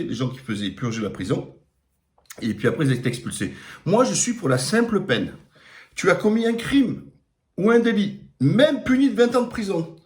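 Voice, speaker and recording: A middle-aged man with a Marseille accent giving a blunt, emphatic opinion monologue on a live stream, with frequent fillers. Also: a little high. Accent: Marseille French. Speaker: political commentator.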